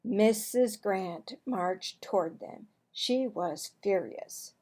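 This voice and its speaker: A woman reading a children's book aloud as a storyteller, deliberate and expressive. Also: mid-range voice. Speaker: storybook reader.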